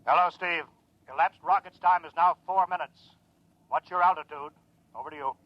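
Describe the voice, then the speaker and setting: An actor playing an earnest mission controller in a 1950s radio drama, giving clipped, brisk instructions to a test pilot. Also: male voice, fairly high pitch, fairly even intonation, natural voice. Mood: anxious. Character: earnest male mission controller, protective and anxious. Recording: dull 1950s radio broadcast.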